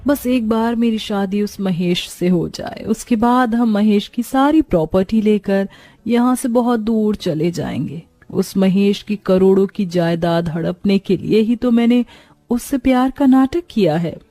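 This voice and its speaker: A woman's voice: medium pitch, unhurried, dark tone, no room sound, soft background music, natural voice. Woman playing a coy scheming young bride-to-be.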